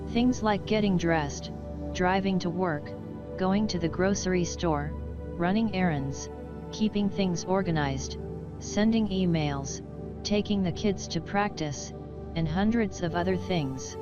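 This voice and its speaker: A woman's voice: on the low side, unhurried. A woman reading a scripted daily devotional and prayer. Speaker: devotional reader. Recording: dull lo-fi audio under music. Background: guitar music.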